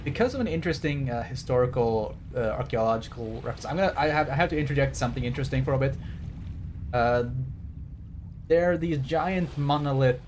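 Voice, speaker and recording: A man with a medium-pitched voice commentates on a game for a livestream. He is casual and wry, often digressing to explain things. Faint ambient noise sits behind him. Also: animated, brisk, darkish tone. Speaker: game streamer.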